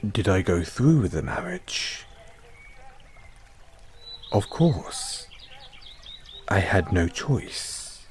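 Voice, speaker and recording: A man's voice: deep voice, very animated, very slow, long pauses, a little hiss or hum, heavily acted. A man playing a devoted, formal butler with a painful past, speaking solemnly and deferentially in a scripted audio roleplay. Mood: solemn, restrained.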